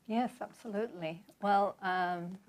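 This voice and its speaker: An older woman from London with a London accent, calmly telling her life story as a guest in an interview. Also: medium pitch. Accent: London English. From London, England. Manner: measured, reflective storytelling. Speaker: guest author. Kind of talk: conversational interview.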